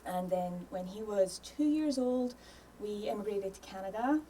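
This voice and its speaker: A woman with a Scottish accent talks as a podcast guest in a studio, easygoing and quick to laugh, with plenty of "um" and "yeah". Her voice has a middle pitch. She sits a little back from the microphone. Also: a little hiss or hum. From Scotland. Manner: relaxed, laughs easily, hedges with "um" and "yeah". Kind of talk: casual interview chat. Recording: voice a little distant from mic.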